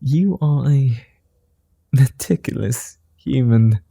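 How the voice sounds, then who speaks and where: Male vampire, gentlemanly and amused, man voicing: extremely slow delivery, very dark and mellow tone, formal old-world phrasing, ASMR roleplay. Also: mid-range voice, lively intonation, vocal fry, slightly rough, dry recording, clean studio sound, heavily acted. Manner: formal, old-fashioned phrasing with soft chuckles.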